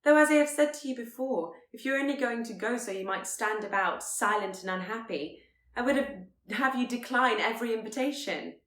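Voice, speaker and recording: A woman voicing a spirited, candid and tender young Regency gentlewoman, articulate and earnest. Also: fairly high pitch, fairly quick, echoey room, pitched above the performer's own voice, natural voice. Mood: exasperated, reproachful.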